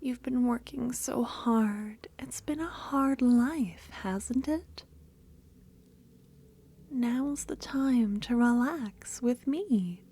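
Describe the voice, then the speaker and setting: Yandere cultist kidnapper , woman performer: high, mellow, coaxing female voice with whispery edges, possessive and sweet, for ASMR roleplay. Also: very slow, exaggerated voice. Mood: tender, possessive. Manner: breathy, coaxing, drifting into whispers. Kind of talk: soft-spoken ASMR roleplay.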